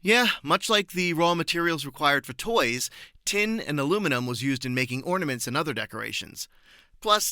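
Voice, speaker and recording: A man speaks as an interview guest in a bright, forward voice at a middling pitch. His answers sound prepared and explanatory, with articulate, measured phrasing. The sound is very dry, with no room on it. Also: clean tone, no room sound.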